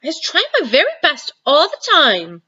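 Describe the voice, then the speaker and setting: A big, gentle, ancient giant, put on by a woman reader, tumbling over his own jumbled words and made-up ones. His voice swings widely up and down as he talks slowly. The sound is a little dull and lo-fi. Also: high voice, huge pitch swings, pitched above the performer's own voice, exaggerated voice. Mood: earnest. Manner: jumbled grammar, made-up words, drawn-out delivery. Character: huge kindly old male giant, gentle, muddled with words.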